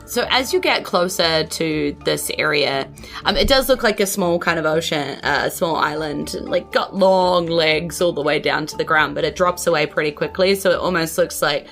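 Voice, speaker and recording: A woman's voice: sits low, moderate pace, vocal fry, a bit bright, soft background music. A woman narrating a tabletop game as its host, in an informal, conversational, descriptive way. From New Zealand. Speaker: game master and podcast host.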